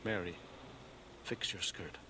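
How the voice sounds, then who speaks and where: An actor playing a grave, pained small-town husband in a radio drama, speaking with quiet restraint. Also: male voice, deep voice, fairly even intonation, slow pace. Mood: pained, stern. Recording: noisy old cassette of radio drama.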